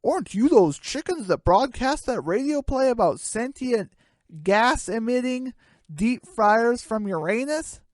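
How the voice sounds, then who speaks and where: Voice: medium pitch, lively intonation, measured pace, no room sound, studio quality, pitched above the performer's own voice, lightly acted. A performer voicing a snooty, dismissive little green alien in a hobby comedy radio play. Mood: puzzled.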